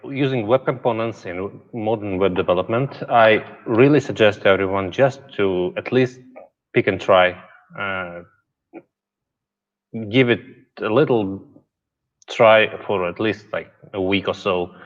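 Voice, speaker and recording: A man hosts an online developer conference, wrapping up talks and introducing speakers in a relaxed, halting way. His somewhat low voice comes through dull, lo-fi audio. A long reverb trails behind it. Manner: relaxed, halting, many fillers. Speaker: event host. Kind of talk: moderating an online conference stream. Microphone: video call.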